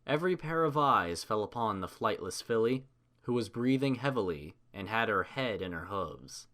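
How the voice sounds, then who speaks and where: A man narrating a fan fiction story in an animated storytelling style. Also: on the low side, normal speed.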